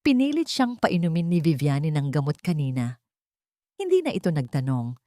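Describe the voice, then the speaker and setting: A woman with a Filipino accent tells a dramatic audio story. Her voice sits on the low side and sounds dry and close, with no room around it. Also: very animated, normal speed, warm tone, dry recording. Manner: dramatic storytelling, voicing characters' lines. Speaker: narrator. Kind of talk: scripted audio drama narration.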